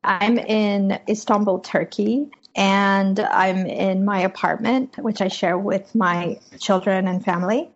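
A woman from Afghanistan, a native Persian speaker, speaking as a journalist guest in a measured, reflective way from her home office. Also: mid-range voice, fairly even intonation. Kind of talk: interview over remote link. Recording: muffled lo-fi remote audio.

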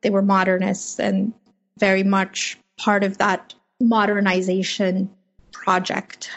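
A woman from Afghanistan, a native Persian speaker, speaking as a journalist guest in a measured, reflective way from her home office. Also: medium pitch, little pitch movement. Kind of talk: interview over remote link. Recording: muffled lo-fi remote audio.

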